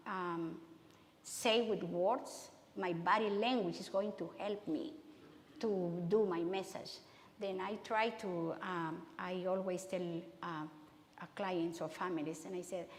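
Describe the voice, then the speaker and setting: A woman with a Spanish accent, a native Spanish speaker, giving a talk to a church audience in a halting, conversational way with many restarts. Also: medium pitch, slight background noise. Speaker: guest speaker. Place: church hall. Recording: echoey hall with long reverb.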